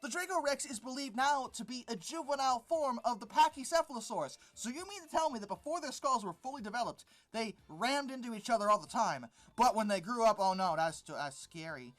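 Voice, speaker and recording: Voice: mid-range voice, animated, fairly quick, few pauses, fairly bright tone. Exasperated, sarcastic commentator over music. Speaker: host of a paleontology commentary channel. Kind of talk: informal article-critique commentary.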